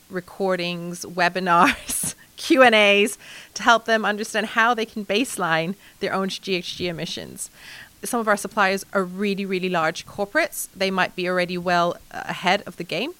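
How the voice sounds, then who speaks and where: A woman from Hong Kong, a sustainability executive answering interview questions in a lively way, with frequent fillers and light laughs. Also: medium pitch, normal speed, clear, resonant tone, no room sound. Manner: animated, laughs often, many fillers.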